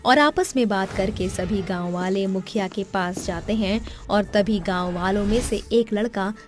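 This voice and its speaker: A woman's voice: mid-range voice, unhurried. Woman narrating a horror cartoon over music. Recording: background music under the voice.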